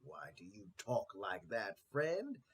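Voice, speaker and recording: A man's voice: fairly low pitch, huge pitch swings, takes it slowly, breathy edge, pitched below the performer's own voice, full character voice. A man voicing a kindly, upbeat donkey in a storybook tale, coaxing and friendly as he reassures others. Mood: encouraging.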